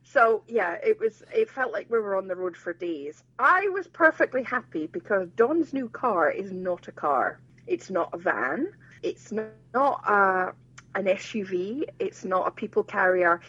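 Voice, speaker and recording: A woman tells road-trip stories with animated, self-mocking humour. Her pitch swings widely and her voice is a bit husky. The recording sounds dull and lo-fi. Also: measured pace. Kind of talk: casual chat.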